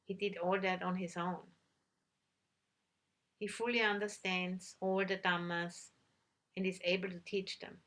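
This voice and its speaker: A woman teaching a guided meditation, giving gentle instructions with long pauses between phrases. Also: fairly low pitch, flat delivery.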